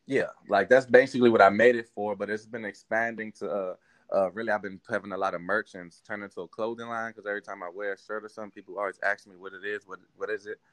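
A man's voice: fairly low pitch, fast talker, no room sound. A man in his thirties from Washington, D.C., a hip hop artist talking casually as a podcast guest. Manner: relaxed, rambling, many fillers. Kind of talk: casual interview chat.

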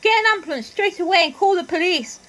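A brisk, commanding store security guard, played by a woman. Her voice is high and bright and rises and falls a lot as she gives orders and questions a suspect. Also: animated. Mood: alarmed. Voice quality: brisk, authoritative. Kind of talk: amateur audio drama acting.

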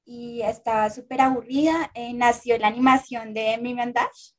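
A woman's voice: fairly high pitch, fairly even intonation, normal speed. A woman of twenty-one from Colombia, a guest on a podcast, chatting in a playful, teasing way. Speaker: interview guest, content creator. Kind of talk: casual podcast chat.

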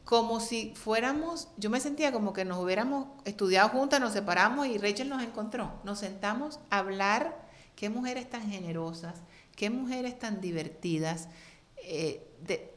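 A middle-aged woman from Colombia, a guest on an interview show, telling stories in an animated, expressive way. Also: medium pitch, normal speed. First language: Spanish. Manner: lively, expressive, acts out quoted voices. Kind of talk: animated storytelling in conversation.

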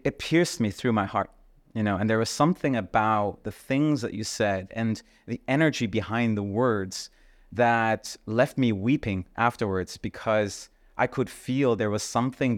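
Man, podcast host, earnest, halting, lower pitch, dry sound. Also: no room sound. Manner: earnest, grateful, halting with fillers. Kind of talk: casual interview.

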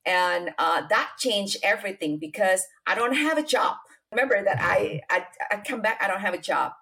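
A middle-aged woman from Indonesia with an Indonesian accent, telling her life story as an interview guest, unhurried and full of fillers. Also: medium pitch, expressive, creaky, bright, forceful tone. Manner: unhurried, many fillers and restarts, non-native grammar.

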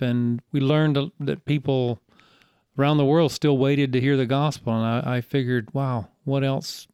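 A man's voice: mid-range voice, darkish tone, clear, resonant tone, no echo, studio quality. A man in his sixties with a Southern US accent, a church elder talking in an easygoing, folksy, rambling way as an interview guest. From Greenville, South Carolina. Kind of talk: casual interview conversation.

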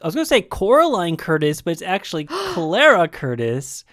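A man hosting a film podcast in casual chat, hoarse and a bit nasal from a cold, teasing his co-host dryly. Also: high-pitched, huge pitch swings, moderate pace, fairly bright tone, dry recording, studio quality.

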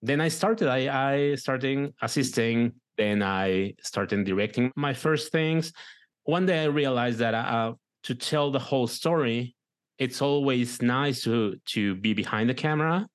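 A man's voice: medium pitch, a little slow. A middle-aged man from Mexico with a Mexican Spanish accent, a guest talking through his career, often repeating words as he searches for them. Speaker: guest, director and producer. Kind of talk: casual interview talk.

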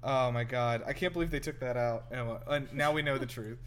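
A man's voice: mid-range voice, fairly even intonation, fairly quick. A man giving casual, joking reaction commentary over a film, swearing now and then. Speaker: host of a movie reaction show. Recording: film soundtrack playing behind. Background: movie audio with music.

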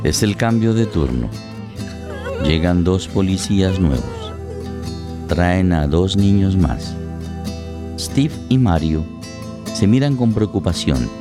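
A man's voice: low-pitched, lively intonation, takes it slowly, pauses often. A man giving a dramatic scripted narration of a radio play. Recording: plucked guitar music under voice.

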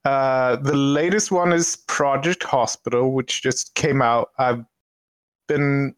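A Swedish man talks at an unhurried pace, and he often stops to search for his words. His voice comes through dry and close, with no room sound at all. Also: medium pitch, steady tone, clean tone, dry recording. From Sweden. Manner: slow, hesitant, with many ums and restarts. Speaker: streamer and podcast guest. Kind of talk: casual chat.

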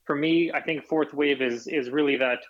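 A young Canadian man answering interview questions in a relaxed way, often repeating words as he thinks. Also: a little high, normal speed, dull audio. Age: young adult. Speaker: guest.

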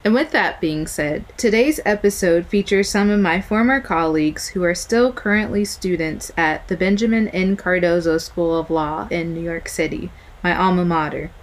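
A woman's voice: fairly low pitch, moderate pace, low-quality recording. A young woman hosting a podcast, reading an intro and then welcoming guests in an upbeat way. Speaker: podcast host. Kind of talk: podcast intro and group interview.

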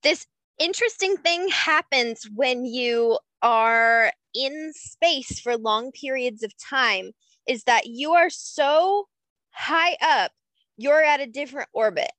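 A young woman co-hosting a casual chat, explaining things slowly with fillers and small laughs. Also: high voice, expressive, fairly bright tone, no echo, rough recording. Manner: unhurried, explains facts with frequent fillers and laughs. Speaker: podcast co-host.

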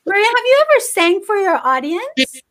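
A woman's voice: extremely high pitch, sing-song, moderate pace, heavy background noise. A woman author chatting as a guest in an interview, eager and rambling with many restarts. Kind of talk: casual interview chat.

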